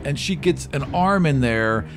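Male game master, casual and expressive delivery, mid pitch, music underneath. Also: measured pace. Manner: casual, conversational. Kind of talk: narrating a tabletop roleplaying game. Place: room around a large game table. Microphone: close table microphone.

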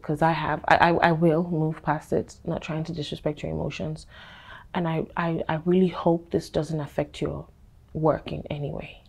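Mellow, low-voiced young woman, flustered. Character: embarrassed, self-reproaching young woman, earnest and flustered. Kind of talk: film drama dialogue.

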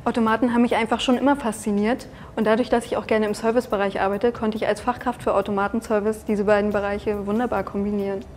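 A woman's voice: mid-range voice, fairly even intonation, fast, few pauses, music in the background. A woman in her twenties, a first-year trainee, speaking fluently in an interview. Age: 20s. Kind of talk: interview statement.

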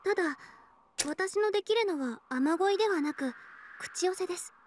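Casting: young shrine maiden, very high and quick, courteous and solemn, game dialogue with faint noise. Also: female voice, animated, a little mellow. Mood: polite, solemn. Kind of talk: Japanese visual novel voice acting.

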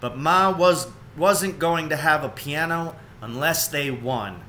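A man's voice: on the high side, slow, pushed and bright, a little hiss or hum. A man in his forties reading a children's storybook aloud, recorded indoors at home on a webcam.

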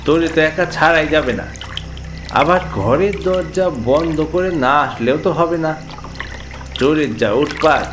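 A man playing a cautious, bossy older brother from a village, worried and chiding, in an animated ghost story. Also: fairly high pitch, background noise, lightly acted. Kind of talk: animated horror story character dialogue.

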